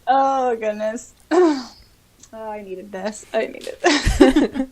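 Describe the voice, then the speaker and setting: A woman co-hosting a podcast, reading comments aloud in a casual, expressive, giggly way. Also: on the high side, drawn-out words. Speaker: podcast co-host.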